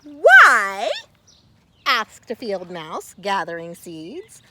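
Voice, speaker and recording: A woman reading a children's story aloud expressively, with theatrical, deliberate phrasing and dramatic pauses. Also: on the high side, big pitch swings, heavy background noise. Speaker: storybook reader.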